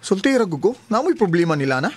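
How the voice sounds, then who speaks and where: A man's voice: high-pitched, big pitch swings, fast, slightly rough, exaggerated voice. A man acting as a devoted, protective young boyfriend in a Cebuano radio drama, with a Cebuano Filipino accent. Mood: upset, defensive. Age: young adult.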